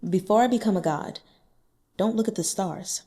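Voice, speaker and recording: A woman reading the lines of a cold, aloof male immortal cultivator in a storybook, in a calm, firm tone. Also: mid-range voice, moderate pace, slightly rough, natural voice.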